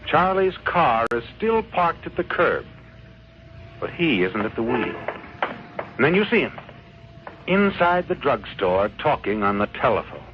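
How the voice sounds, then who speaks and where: An actor playing the ominous narrator of an old radio crime thriller, speaking deliberately and with suspense in a 1940s style. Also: male voice, mid-range voice, sing-song. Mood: suspenseful. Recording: muffled old radio recording with music. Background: music.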